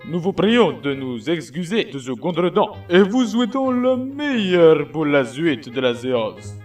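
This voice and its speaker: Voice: mid-range voice, big pitch swings, a little slow, darkish tone, far from the mic, soft background music. A performer voicing a formal announcer, courteous and stilted, reading a scripted apology.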